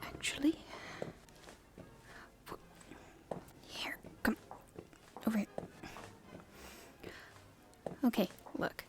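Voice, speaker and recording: A woman playing a proper young princess in an ASMR roleplay, speaking formally and hesitantly in a soft, breathy half-whisper. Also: fairly high pitch, natural voice. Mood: anxious, confiding. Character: proper young princess at her debut, stifled, secretly rebellious.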